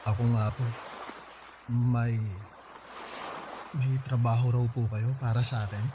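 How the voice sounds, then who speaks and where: A man's voice: medium pitch, flat delivery, measured pace, noisy background, close to an everyday voice. A Filipino man acting in a radio drama as a polite, naive young husband, anxious and stammering. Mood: polite, shy. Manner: hesitant, stammering, respectful.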